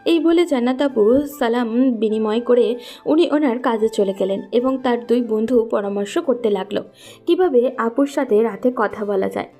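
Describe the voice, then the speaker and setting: A woman's voice: fairly high pitch, warm tone. A woman narrating a scripted love story as its storyteller.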